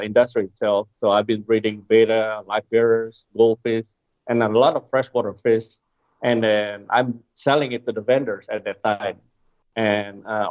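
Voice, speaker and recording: A man, answering interview questions in a hesitant way with many filler pauses, heard over a remote call. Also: fairly low pitch, dry recording. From Indonesia. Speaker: guest, coral wholesaler. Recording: dry, tinny phone-like line.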